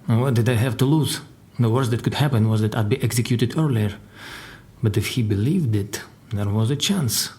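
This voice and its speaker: An actor playing a crime boss's guarded secretary in an audio drama, telling his story in a dry, deliberate way. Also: mid-range voice, mellow, close to an everyday voice. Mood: grave, measured. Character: formidable Russian crime boss's secretary, guarded male survivor. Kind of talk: audio drama dialogue and narration.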